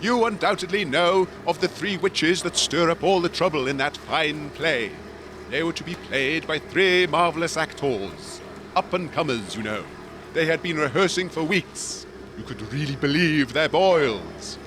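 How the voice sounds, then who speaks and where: Man playing a pompous, florid theatrical lion gentleman. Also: on the high side, expressive, measured pace, noisy background. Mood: grandiose storytelling. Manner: florid, courtly, wordy. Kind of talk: audio comedy drama.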